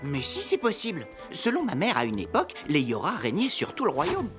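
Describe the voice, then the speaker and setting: A woman voicing an eager, cocksure young boy inventor and adventurer in a cartoon, excitable and know-it-all. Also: fairly low pitch, brisk, over music. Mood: smug. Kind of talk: French cartoon voice acting.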